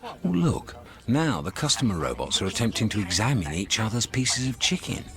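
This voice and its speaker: An actor playing a flippant, cowardly space hitchhiker in a radio drama, sardonic and breezy. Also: male voice, fairly low pitch, big pitch swings, normal speed. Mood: curious.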